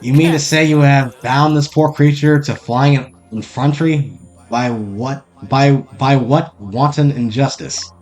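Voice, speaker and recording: A man's voice: mid-range voice, unhurried, a little mellow, faint music behind, close to an everyday voice. A man voicing a pompous, flowery male steam-train engineer in grand, old-fashioned courtly speech.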